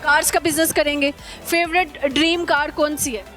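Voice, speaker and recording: A woman's voice: sits high, little pitch movement, normal speed, a bit bright. A woman with a Pakistani accent hosting a casual street interview, playfully teasing and echoing answers back as questions. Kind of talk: casual, bantering vox-pop interview. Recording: music and crowd chatter behind the voice.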